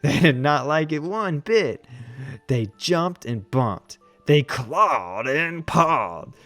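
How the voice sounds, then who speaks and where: A man reading a children's storybook aloud as an animated narrator. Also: a little high, slow pace, no echo, soft background music. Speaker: children's book reader.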